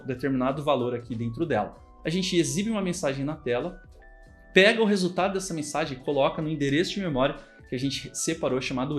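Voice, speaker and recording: A Brazilian-accented man with a slightly raised voice explains code at his desk mic in a relaxed, conversational way, often pausing to check the viewer is following. Soft music plays faintly underneath. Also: fairly high pitch. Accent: Brazilian Portuguese. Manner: casual, chatty explaining with frequent check-ins. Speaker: programming instructor. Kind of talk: screen-recorded coding tutorial.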